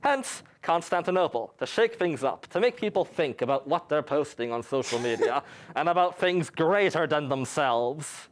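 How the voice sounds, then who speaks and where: A man's voice: on the high side, lively intonation, normal speed. A young man from New York, a student guest in a campus TV studio, answering with dry, mock-grandiose humor. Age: young adult. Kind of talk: tongue-in-cheek interview answers.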